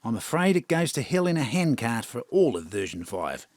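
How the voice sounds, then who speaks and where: An actor playing a gloomy, wry old mentor in an audio drama, resigned and deadpan. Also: male voice, medium pitch, moderate pace, no echo, studio quality.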